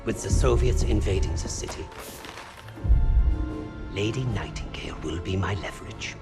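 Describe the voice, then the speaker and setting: An actor playing a cornered, calculating Nazi officer who talks in a measured way. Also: male voice, sits low, creaky. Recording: loud game music underneath.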